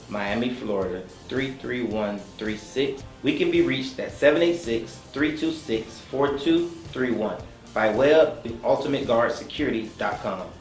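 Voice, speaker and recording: A man who owns a security company, speaking in a measured, businesslike way about his firm. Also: medium pitch, a little mellow, roomy sound, rough recording. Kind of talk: reading a promo, then guest chat.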